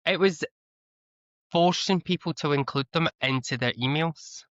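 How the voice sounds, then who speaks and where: A man's voice: a little high, unhurried, fairly bright tone, dry recording. A Scottish man speaking as an interview guest, talking earnestly with frequent restarts and repeated words. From Scotland. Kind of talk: candid conversational interview. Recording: slightly dull, lo-fi audio.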